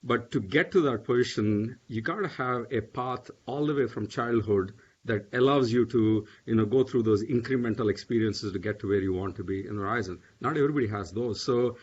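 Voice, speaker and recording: A man's voice: mid-range voice, husky. A man speaking on a panel, hesitant, with many fillers and restarts. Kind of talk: panel discussion. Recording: dull lo-fi audio.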